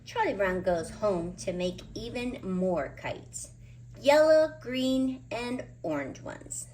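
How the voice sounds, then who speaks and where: A woman reading a children's picture book aloud in an expressive, emphatic way, drawing out key words. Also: mid-range voice, slow pace, a little hiss or hum, rough recording. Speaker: children's storybook reader. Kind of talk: animated read-aloud narration of a picture book.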